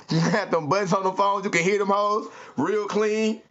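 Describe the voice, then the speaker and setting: A man tells animated, slangy stories and keeps turning to his listeners. His voice sits a little high for a man. The recording has a dull, lo-fi sound. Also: moderate pace. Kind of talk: animated comic storytelling. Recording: muffled lo-fi recording.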